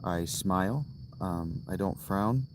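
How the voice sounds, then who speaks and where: A man in his thirties chatting on a casual livestream from inside a tent, musing slowly and restarting his thoughts as he goes. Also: low voice, background noise. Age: 30s. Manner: slow, musing, thinks aloud with restarts. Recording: loud crickets and outdoor ambience. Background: crickets.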